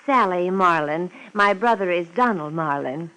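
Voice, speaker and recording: An actress playing a timid, hesitant young female client with a halting manner in a 1950s radio drama. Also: on the low side, animated, bright, forceful tone, low-quality recording. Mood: nervous. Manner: halting, apologetic. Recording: vintage 1950s radio recording.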